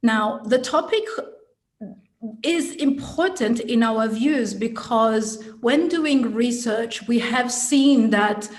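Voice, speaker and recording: Woman, show host, slightly higher voice, measured, formal. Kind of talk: hosting an interview.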